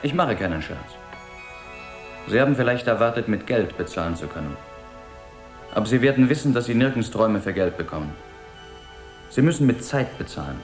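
An actor in a 1970s radio drama playing an unhurried, courteous, matter-of-fact merchant who sells dreams. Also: male voice, medium pitch, very animated, reverberant, soft background music, lightly acted. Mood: businesslike calm. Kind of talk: 1970s German-language radio drama acting.

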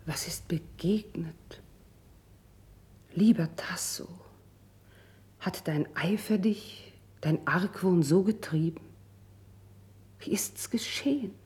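A slow-spoken, low-voiced noble confidante. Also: female voice, lively intonation, a little mellow, in a whisper. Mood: concerned, dismayed. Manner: deliberate, formal, persuasive. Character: poised, soothing, shrewd noblewoman and confidante. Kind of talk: classic verse radio drama acting.

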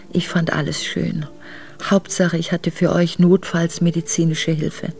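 A creaky-voiced exiled princess, reminiscing. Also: female voice, lowish voice, darkish tone. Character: exiled Russian princess, aged mother recalling hard years tenderly. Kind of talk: German radio drama monologue, memoir narration.

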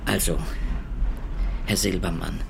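An actor playing an anxious, pleading carpenter on the run from the Nazis, speaking earnestly and politely in a radio drama. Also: male voice, low-pitched, flat delivery, takes it slowly, vocal fry, background noise, heavily acted. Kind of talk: German radio drama dialogue.

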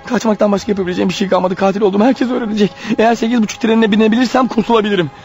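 An actor playing a paranoid, desperate killer on the run in a radio drama, thinking aloud in an agitated, urgent manner. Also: male voice, high-pitched, steady tone, very fast, dull audio, faint music behind. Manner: agitated, urgent, talking his thoughts out loud. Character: desperate, paranoid fugitive killer, a married man. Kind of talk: radio drama acting with spoken-aloud thoughts.

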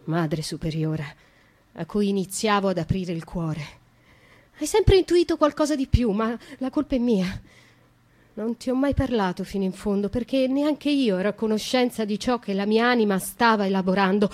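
An actress playing a young, tormented noblewoman who slowly and intimately confesses her past to a nun in a radio monologue. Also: medium pitch, natural voice. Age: young adult.

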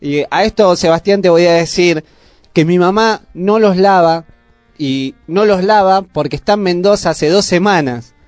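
A man with a high voice and a Buenos Aires accent hosts a casual radio chat. The sound is dry and close, with faint music underneath. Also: normal speed, no echo. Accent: Argentine, Buenos Aires. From Argentina. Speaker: radio host.

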